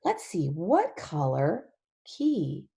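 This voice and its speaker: A woman leading an interactive storybook read-along for young children, animated and emphatic, using simple repeated phrases and questions. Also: fairly low pitch, drawn-out words, gravelly. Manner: animated, emphatic, often drops to a whisper.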